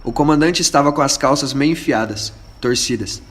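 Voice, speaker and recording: A man's voice: mid-range voice, normal speed. A man narrating a scripted radio drama as its storyteller. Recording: echoey room with faint noise.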